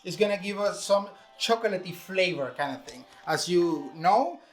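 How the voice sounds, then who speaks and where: A man's voice: sits high, lively intonation, moderate pace, soft background music. Venezuelan man chef showing a recipe. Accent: Venezuelan Spanish. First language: Spanish. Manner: explains step by step, many fillers. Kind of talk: casual cooking demonstration.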